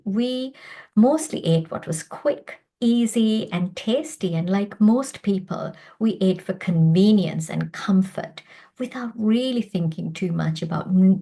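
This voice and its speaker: A woman in her fifties from Sri Lanka giving a prepared personal presentation as a doctor, in a measured, earnest way. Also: medium pitch, mellow. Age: 50s.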